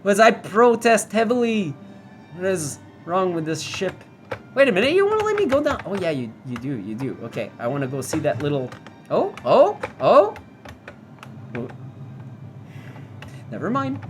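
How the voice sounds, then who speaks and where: A woman's voice: a little high, slight background noise. Chatty, foul-mouthed woman gamer. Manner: chatty and profane, reads signs aloud. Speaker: VR game reviewer. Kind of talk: casual gameplay commentary.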